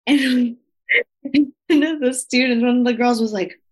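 A woman from the United States co-hosting a podcast, telling stories lively and mixing in Spanish words. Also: measured pace, poor audio. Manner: lively storyteller, slips into Spanish, pitch leaps when quoting. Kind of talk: casual chat.

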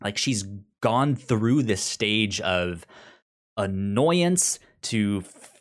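A man co-hosting a casual review discussion, talking haltingly and often repeating words as he works through his thoughts. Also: fairly low pitch, big pitch swings. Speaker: podcast co-host.

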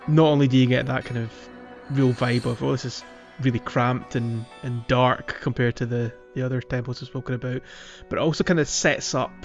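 Man chatting over music, slightly dull audio. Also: medium pitch. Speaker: podcast host. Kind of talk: casual discussion of a video game. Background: background music.

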